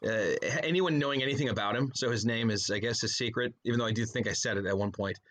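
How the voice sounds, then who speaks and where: A man's voice: medium pitch, fast talker, a bit bright. A man talking casually as a guest in a podcast interview, rambling and often interrupting himself with asides. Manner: rambling, self-interrupting storyteller with frequent trailing asides.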